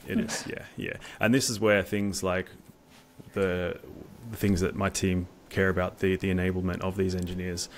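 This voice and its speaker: A man's voice: low voice, flat delivery, normal speed, slight background noise. A middle-aged man talking as an engineer in an informal technical interview on a video call, often repeating words. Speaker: staff software engineer, interview guest. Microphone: video call, wireless earbuds.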